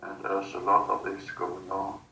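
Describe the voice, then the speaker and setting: Male, trance-channelling subject: halting, very slow, bright gravelly edge, distant roomy recording with faint noise. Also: low-pitched, completely flat delivery. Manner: halting, broken phrases with long gaps. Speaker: hypnosis subject channelling otherworldly beings. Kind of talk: slow, halting channelled monologue in a guided session.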